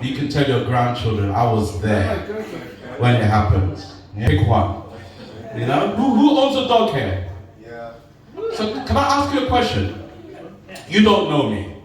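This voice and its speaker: A man's voice: medium pitch, measured pace, pauses often. A man performing a stand-up comedy set at a live venue, bantering playfully with the crowd. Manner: playful crowd work, animated delivery. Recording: roomy live venue, distant mic, crowd.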